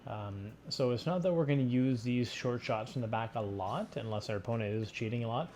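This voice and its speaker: A man coaching in an informal, explanatory way, talking through sports drills in halting phrases. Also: mid-range voice, a little mellow, slight background noise. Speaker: squash coach. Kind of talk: instructional sports commentary over drill footage.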